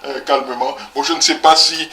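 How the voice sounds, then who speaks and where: A man's voice: medium pitch, steady tone, brisk, vocal fry, sharp tone, reverberant, far from the mic, a little hiss or hum. A man born in Congo, co-hosting a community talk show and explaining the news with many hesitations. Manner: explanatory, with frequent "hein" and hesitations. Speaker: co-host. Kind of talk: conversational current-affairs talk show.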